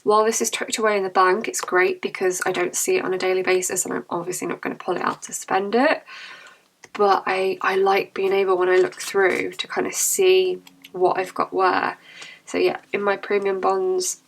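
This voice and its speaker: A young woman talks casually to camera, her voice gravelly and catching from a cold. She rambles in a chatty, self-correcting way. A faint hiss sits under the recording. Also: medium pitch, little pitch movement, moderate pace, rough recording. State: croaky with a cold. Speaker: budgeting vlogger.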